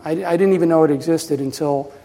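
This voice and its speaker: A man's voice: on the high side, quick pace, dark tone. A man in his fifties giving a casual, rambling presentation at a pinball festival, full of fillers and restarts. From New Jersey, USA. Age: 50s. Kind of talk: conference talk. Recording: reverberant hall.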